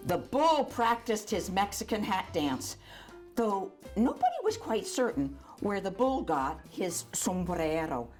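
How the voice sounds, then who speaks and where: An older woman reads a children's storybook aloud in an animated, chatty way, adding asides for young listeners. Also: mid-range voice, a little slow, faint music behind. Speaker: volunteer storyteller.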